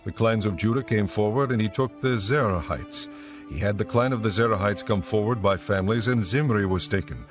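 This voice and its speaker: A man narrating scripture as a scripted story, in a measured storytelling manner. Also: lowish voice, soft background music.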